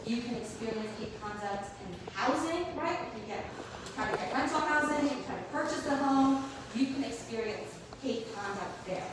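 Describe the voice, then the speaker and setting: A woman on a panel from a human rights office, explaining to a public audience in an energetic, emphatic way. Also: a little high, moderate pace. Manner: energetic and emphatic, says she has a loud voice. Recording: echoey room, mic from a distance, background noise.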